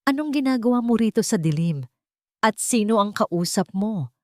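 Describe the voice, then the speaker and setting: Woman voicing an angry, controlling husband, raised pitch. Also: lively intonation, moderate pace, a little mellow, dry recording, clean studio sound, natural voice. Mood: angry, demanding. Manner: demanding, accusing.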